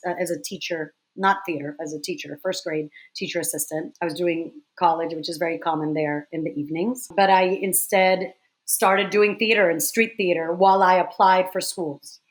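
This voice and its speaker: A woman in her forties from the Dominican Republic, telling stories in a casual interview with quick, animated restarts. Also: on the low side, low-quality recording. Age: 40s. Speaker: guest, theater director.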